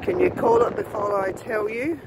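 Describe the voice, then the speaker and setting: A woman's voice: fairly high pitch, lively intonation, normal speed. A woman giving casual, admiring walk-along commentary for a vlog, musing aloud and asking rhetorical questions. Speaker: vlogger. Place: outdoor car wrecking yard. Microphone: handheld phone held while walking. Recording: windy, noisy outdoor phone recording. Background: wind noise.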